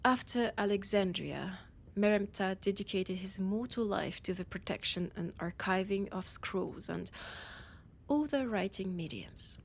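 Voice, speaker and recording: A woman playing a haughty, annoyed vampire scholar with a French accent, speaking in a theatrical monologue with dramatic pauses. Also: mid-range voice, creaky. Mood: solemn, explanatory. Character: annoyed, haughty female vampire scholar guiding a library guest. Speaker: audio roleplay performer. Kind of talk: slow theatrical in-character monologue.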